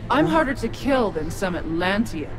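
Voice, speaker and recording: A woman's voice: medium pitch, big pitch swings, normal speed, close to an everyday voice, low-quality recording. A woman voicing a cruel, haughty ancient demon sorceress in a video game, gloating and threatening. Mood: gloating threat. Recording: music behind the voice.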